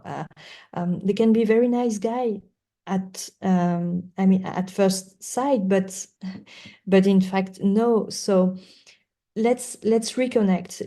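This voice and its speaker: A woman speaks as an interview guest in English that is not her native language. Her delivery is slow and halting, with earnest repetition for emphasis. The tone is mellow and relaxed, at a middling pitch for a woman. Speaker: guest lawyer. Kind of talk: conversational podcast interview.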